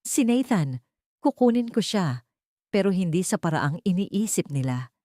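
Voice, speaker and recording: A woman's voice: fairly low pitch, very animated, a little slow, no room sound, clean studio sound. A woman playing a steely young heiress bent on revenge in a dramatic audio story, speaking directly and without wavering. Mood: vengeful. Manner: sharp, direct, unwavering.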